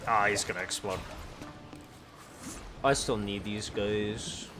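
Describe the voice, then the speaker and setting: Male game streamer, lower-pitched, unhurried and rambling, casual commentary over clear background music. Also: steady tone. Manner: rambling, thinking aloud. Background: video game music.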